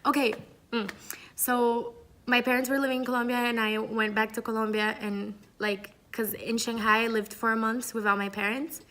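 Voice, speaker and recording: A woman's voice: a little high, flat delivery, normal speed, sharp tone. A young woman from Brazil, a student, chatting playfully to camera and leaning on "like" and "you know". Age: young adult. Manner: chatty and playful, laughs often, many "like" fillers. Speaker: student interviewee. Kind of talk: casual chat to camera. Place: Paris.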